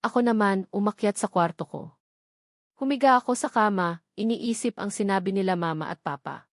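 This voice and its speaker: A woman's voice: medium pitch, normal speed, no echo. Filipino woman narrating romance drama, dry sound. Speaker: narrator of audio love stories. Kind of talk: scripted first-person romance drama narration.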